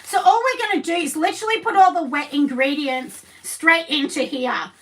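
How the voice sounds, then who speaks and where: A chatty Australian woman with a husky voice, hosting a live craft class and rambling to her viewers. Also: high voice, normal speed, a bit bright. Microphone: camera set down at a distance. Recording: voice a bit distant from the mic.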